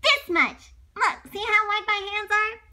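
A woman puts on an adoring little boy hare from a storybook. The voice is very high and leaps about eagerly, repeating itself in excited bursts. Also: a little slow, heavily acted. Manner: eager, repetitive, squealing.

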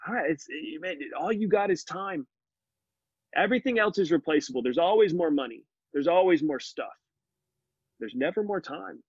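A man's voice: fairly high pitch, animated, normal speed, breathy edge, no room sound. A man in his forties, a podcast guest, talking earnestly and animatedly over a video call from his living room. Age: 40s. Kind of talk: casual conversational interview. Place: home living room with bookshelves. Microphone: video call, laptop mic. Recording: muffled dry video call audio.

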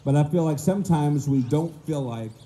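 A middle-aged man, hoarse and low-voiced this morning, preaching a sermon at a stand mic in an outdoor park pavilion and mimicking people's excuses in quick voices. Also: dark tone. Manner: acts out excuses in quick voices, slow emphatic stresses. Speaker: minister. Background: faint outdoor ambience with birds.

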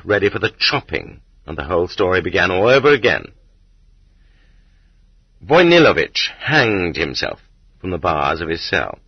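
Low, husky male audiobook narrator. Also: dry recording. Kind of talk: scripted narration of a nonfiction book. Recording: dull lo-fi cassette transfer, dry.

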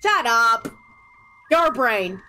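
A woman's voice: fairly high pitch, sing-song, drawn-out words, bright, forceful tone. A woman streaming a game and chatting casually with friends, playful and teasing, laughing often. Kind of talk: casual gaming chat with friends.

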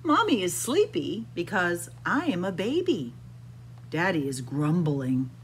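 Slow, expressive middle-aged woman reading aloud. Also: lowish voice, slight background noise. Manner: deliberate, expressive, sing-song phrasing for small children. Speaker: children's library storyteller. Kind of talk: picture book read-aloud for young children. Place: indoors in a library room with bookshelves.

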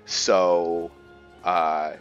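A man with a low voice narrates his roleplay playthrough casually. He speaks in halting pieces, thinking aloud, with faint game music underneath. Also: level tone, lo-fi sound. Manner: hesitant, pausing mid-sentence as he thinks aloud. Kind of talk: casual let's play commentary.

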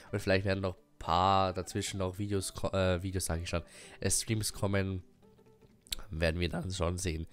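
A man's voice: low-pitched, level tone, moderate pace. A young man with a cold giving a casual, rambling channel update with many fillers and little laughs. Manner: rambling, many fillers and restarts, frequent chuckles. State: has a cold. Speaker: gaming YouTuber and streamer.

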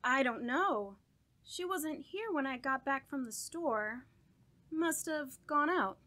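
Bright, high-voiced unhinged murderess. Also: female voice, animated, exaggerated voice. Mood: smug, brooding. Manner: dramatic, halting, broken by manic laughter. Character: unhinged guilt-ridden murderer confessing before suicide. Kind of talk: old-time radio horror drama monologue.

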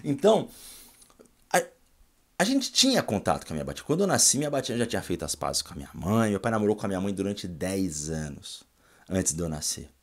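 A Brazilian man in his forties with a São Paulo accent, telling his life story in a casual, animated way in a small room at home and often ending lines with a quick "tá?" or "né?". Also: low voice. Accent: São Paulo Brazilian Portuguese. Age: 40s. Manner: animated, gestures a lot, tags lines with "tá?" and "né?". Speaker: vlogger.

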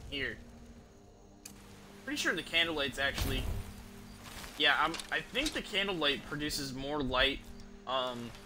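A young adult man giving casual live gameplay commentary, halting and rambling, with frequent swearing. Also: mid-range voice, noisy background. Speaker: video game streamer. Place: indoor room at home.

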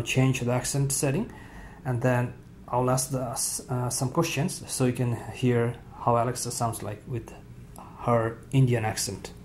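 A man hosting a tech tutorial, talking casually off camera in an indoor room with frequent fillers and pauses. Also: medium pitch, slight background noise. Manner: relaxed, halting with frequent "uh" fillers. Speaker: tech video host.

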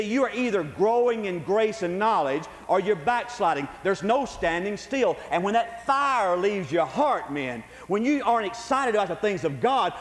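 A man preaching an evangelistic sermon in an emphatic, exhortative way, repeating key phrases and addressing listeners directly in folksy, conversational language. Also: high voice. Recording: echoey hall with long reverb.

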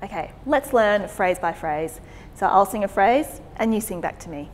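A woman in her thirties with an Australian accent, teaching a song by singing short phrases slowly and explaining between them. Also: mid-range voice, animated. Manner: sings phrases slowly for echoing back, then explains briskly. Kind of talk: singing lesson, sung phrases and spoken instructions. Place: plain studio with grey backdrop.

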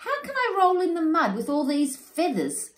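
A woman's voice: deep voice, animated, a little slow. A woman doing vain, panicky warthogs. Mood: panicked. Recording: roomy echo.

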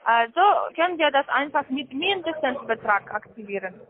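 A woman with a foreign accent giving a sales pitch over the phone, in halting, careful non-native phrasing. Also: lively intonation, crowd noise. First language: not German. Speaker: call centre account manager. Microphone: telephone. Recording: muffled narrow-band phone line.

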